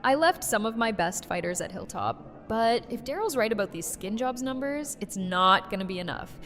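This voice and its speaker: A woman's voice: a little high, animated, normal speed, fairly bright tone. Woman acting blunt woman leader, echoing hall. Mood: urgent. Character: blunt, wry, guarded woman community leader. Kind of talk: fan audio drama acting. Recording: echoey hall, faint music behind.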